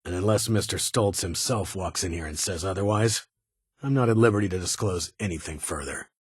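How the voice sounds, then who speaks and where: An actor playing a stern, weary security chief in a video game, with a husky voice and a formal, clipped, authoritative manner. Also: male voice, deep voice, fairly quick, dry recording, studio quality. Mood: formal, businesslike. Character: stern, weary male vault security chief, guarded but honorable.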